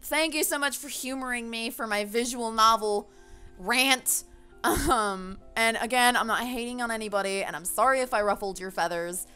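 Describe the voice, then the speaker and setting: A woman's voice: on the high side, normal speed, pushed and bright, smooth, faint music behind. A woman giving a casual, opinionated rant to viewers, passionate and emphatic, with loose swearing, as a gaming video creator.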